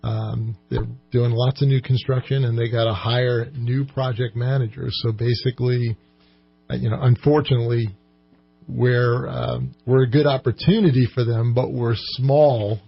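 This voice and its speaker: A man answering as a guest in an informal radio interview, explaining things in a measured, halting way. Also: mid-range voice, steady tone, gravelly, husky, no room sound, highs cut off. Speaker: economic development corporation president, interview guest.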